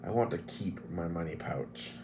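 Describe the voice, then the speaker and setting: A man voicing a calm male half-elf warrior who speaks quietly and evenly. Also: sits low, normal speed, background noise. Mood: dry. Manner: quiet and level.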